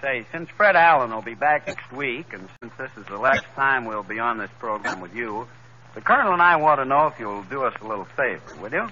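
Bright-voiced man on old radio comedy. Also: medium pitch, expressive, brisk. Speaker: comedy host. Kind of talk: 1930s radio comedy sketch. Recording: dull old radio broadcast with faint hiss.

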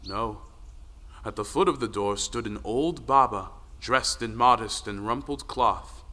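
A man's voice: lowish voice, measured pace, bright, forceful tone. A man narrating a folktale audio play in a dramatic storytelling style.

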